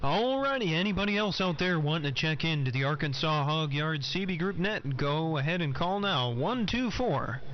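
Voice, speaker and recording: A man's voice: a little high, expressive, normal speed, hardly any pauses. An American man chatting casually and calling for check-ins as host of a CB radio net. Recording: dull lo-fi CB radio, loud static.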